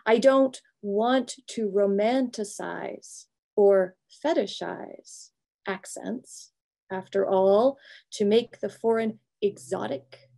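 Slow gravelly-voiced woman pastor. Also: medium pitch, mellow. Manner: measured, thoughtful, pausing phrase by phrase. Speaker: pastor giving a presentation. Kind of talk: reflective prepared talk, read slowly. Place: home office with bookshelves, indoors.

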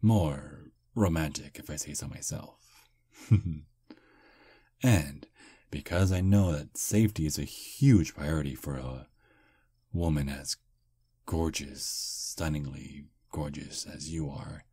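A man voicing a charming, polite male vampire on a first date, speaking softly and flatteringly in an ASMR roleplay. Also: a bass voice, unhurried, breathy edge, no room sound, exaggerated voice. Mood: smooth flirting. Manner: soft, flattering, gentlemanly. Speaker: voice actor.

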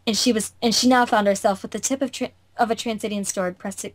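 A woman's voice: fairly high pitch, steady tone, a little fast. A woman reading visual novel narration as an amateur, in a theatrical storytelling way with occasional stumbles. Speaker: fandub narrator.

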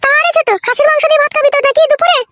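Squeaky, racing cartoon village wife. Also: female voice, steady tone, very fast, fairly bright tone. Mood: fussing. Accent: rural West Bengal. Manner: chattering, bossy, fussing. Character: bossy, fussing, sharp-tongued young village wife. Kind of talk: comic cartoon dialogue.

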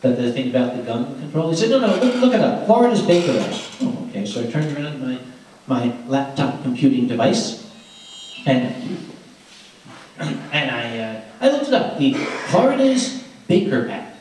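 A man's voice: medium pitch, moderate pace. A man telling a comic story to a live audience in a large lecture hall and acting out the voices in it, heard through a handheld mic from far off. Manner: animated, acts out the voices in his story. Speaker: convention storyteller. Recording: echoey hall from far off, noisy.

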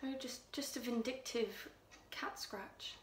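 An unsettling woman confides a dark tale in hushed, intimate tones, as a young actress performs her. Her voice is bright and gravelly. It carries a roomy echo. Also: fairly high pitch, steady tone, a little slow, slight background noise. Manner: hushed, intimate storytelling, drops to whispers. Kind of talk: contemporary audition monologue. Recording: echoey room.

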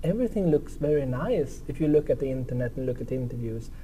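A man's voice: medium pitch, expressive, fast talker, warm tone, a little hiss or hum, poor audio. A middle-aged Swedish man with a Swedish accent, interviewing a guest in a friendly, hesitant way. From Sweden. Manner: friendly, hesitant, many fillers and restarts. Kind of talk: casual interview. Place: indoor lounge, seated at a table.

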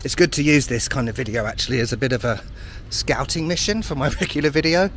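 A man's voice: mid-range voice, quick pace, fairly bright tone. A man from the UK giving casual, wry commentary as he walks outdoors through city streets. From United Kingdom. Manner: chatty, wry, self-deprecating asides. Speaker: travel vlogger. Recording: faint outdoor street noise. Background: faint street and traffic noise.

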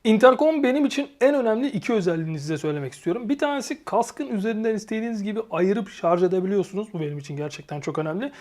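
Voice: mid-range voice, expressive, racing, hardly any pauses, darkish tone. A reviewer giving a casual, explanatory product review and addressing viewers informally. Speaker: motorcycle gear reviewer and channel host.

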